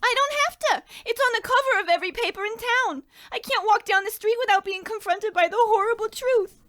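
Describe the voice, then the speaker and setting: Very high-voiced noir damsel. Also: female voice, fairly quick, fairly bright tone. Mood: distraught, melodramatic. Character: melodramatic, glamorous femme fatale client, young woman. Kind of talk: noir radio play parody.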